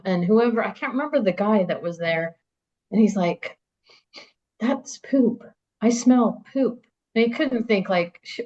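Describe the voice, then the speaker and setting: A woman in her fifties, a podcast guest, casually telling old school stories with frequent laughter. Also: mid-range voice. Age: 50s. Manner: chatty, laughs often, storytelling. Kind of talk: casual reminiscing chat. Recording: dull lo-fi sound.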